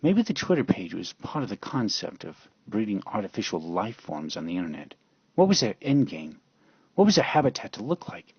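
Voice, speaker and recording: Gravelly, low-voiced man narrating dramatically. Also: sing-song, brisk, no room sound, dull audio. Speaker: storyteller narrator. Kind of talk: dramatic first-person fan fiction narration.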